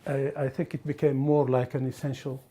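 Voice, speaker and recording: A man from the Middle East or North Africa talking casually and reflectively, haltingly searching for words. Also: mid-range voice, little pitch movement, warm tone. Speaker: documentary interviewee.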